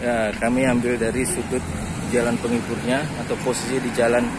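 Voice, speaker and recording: A man's voice: medium pitch, level tone, fairly quick. Thirties male travel vlogger, casual and rambling, outdoors on a phone, heavy street noise. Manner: chatty, hesitant with fillers, addresses viewers as friends. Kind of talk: casual travel vlog commentary. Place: outdoors on a busy city street, riding a pedicab. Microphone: phone camera held at arm's length. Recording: loud outdoor traffic noise. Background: loud street traffic.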